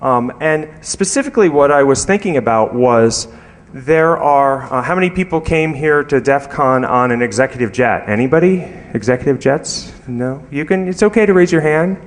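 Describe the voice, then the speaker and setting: A man speaking as a conference presenter, giving an informal technical talk in a conversational, halting way with many ums and asides. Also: mid-range voice, expressive. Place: large conference hall, addressing an audience. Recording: reverberant hall recording.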